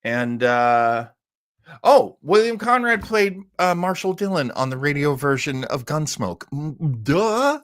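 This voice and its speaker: A man's voice: a little high, very animated, slow, a bit bright, no echo, studio quality. A man hosting an old-time radio listening show, chatting casually in a rambling way full of asides. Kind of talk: casual live-stream chat.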